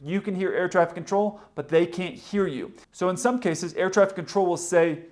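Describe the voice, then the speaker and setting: A man's voice: high voice, moderate pace, a little mellow. A man, a pilot hosting a video, explains flying procedures in a plain-spoken, opinionated commentary. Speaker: pilot and video host explaining aviation radio.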